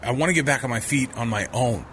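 A man's voice: medium pitch, a bit bright, slight background noise, natural voice. An actor playing a proud, ashamed homeless man in a radio drama, speaking hesitantly. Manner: hesitant, halting. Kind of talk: scripted radio drama acting.

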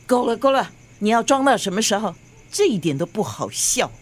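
A sarcastic, nagging grandmother with a fairly high voice that swings widely in pitch. She scolds in exasperation with a Singaporean accent, as in a radio drama. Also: sing-song, brisk, breathy edge. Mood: exasperated.

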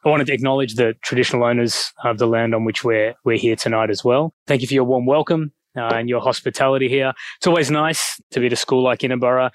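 A man's voice: mid-range voice, steady tone, fast talker, hardly any pauses, no room sound. A middle-aged Australian man giving a public lecture on a headset mic, with easy, self-deprecating humor. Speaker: guest speaker. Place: school hall.

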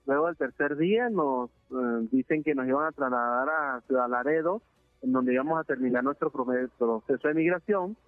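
A man from Venezuela, giving a halting, earnest testimony in a phone interview, full of filler sounds and restarts. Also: medium pitch, no room sound, faint music behind. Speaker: interviewee, deported migrant. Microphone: phone line. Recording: narrowband phone line, dry.

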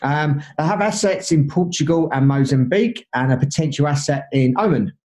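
Male podcast host, kinda high voice, chatty, lots of ums. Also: brisk, darkish tone. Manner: chatty, filler-laden questions. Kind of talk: interview hosting.

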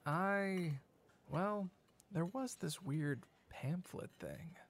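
Weary, easygoing cafe worker, played by a woman: deep-toned, casual, slightly unsure, naturalistic drama delivery. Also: extremely slow, a little hiss or hum. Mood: hesitant, wary. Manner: casual, hesitant. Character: laid-back, hesitant cafe worker, obliging but weary. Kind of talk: naturalistic audio drama dialogue.

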